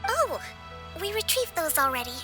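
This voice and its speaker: A woman playing a gentle, composed young island leader in a video game, polite, measured and soft-spoken. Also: very high-pitched, very animated, over music. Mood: weary, resigned. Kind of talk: video game character acting.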